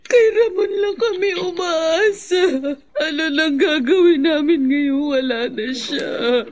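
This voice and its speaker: Bereaved widow: extremely high, slow, tearful wail, melodramatic radio acting. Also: female voice. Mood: despairing, tearful. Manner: wailing, drawn-out delivery. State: crying. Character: grieving widow, despairing and bitter, left with a child.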